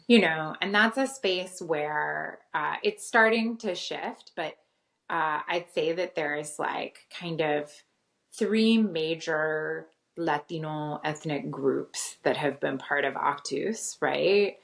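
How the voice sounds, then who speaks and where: A woman's voice: deep voice, slow pace, vocal fry, fairly bright tone. A middle-aged woman from Costa Rica, raised in the US, answering interview questions in a thoughtful way with many fillers and restarts. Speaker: guest, theology professor. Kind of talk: conversational interview.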